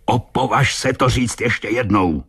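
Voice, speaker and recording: A man voicing a furious, bullying ship captain who shouts and sneers in a storybook reading. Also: medium pitch, husky, pitched above the performer's own voice. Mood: menacing. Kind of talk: storybook reading with character voices.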